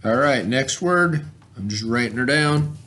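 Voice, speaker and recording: A man's voice: mid-range voice, lively intonation, unhurried, pushed and bright. A man teaching a remote reading lesson to children in an informal, instructional way, carefully sounding out letters and words. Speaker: elementary school teacher.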